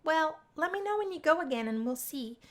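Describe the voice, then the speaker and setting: A woman's voice: high-pitched, animated, brisk, pitched above the performer's own voice. A woman voicing an awkward, polite young female student in a visual novel, hesitant and stammering. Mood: friendly, polite.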